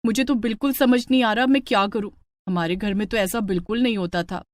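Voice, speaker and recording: A woman's voice: medium pitch, fairly even intonation, fairly quick, dry recording, close to an everyday voice. A woman voicing a young daughter-in-law in a story, polite, plaintive and bewildered. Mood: exasperated.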